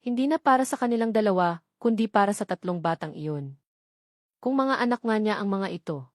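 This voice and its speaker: A woman's voice: medium pitch, lively intonation, brisk, no echo. Female audiobook narrator, Filipino accent, mid-range voice, dramatic romance storytelling, close dry sound.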